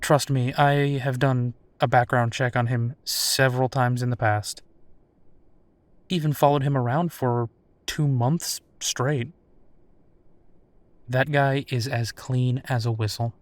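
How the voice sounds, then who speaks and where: A man acts out a protective werewolf detective for an intimate audio roleplay. His voice sits at a middle pitch and he talks with caring, worried steadiness, pausing as he reassures. The sound is dry and close, with no room on it. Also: fairly even intonation, no echo, close to an everyday voice. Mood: reassuring.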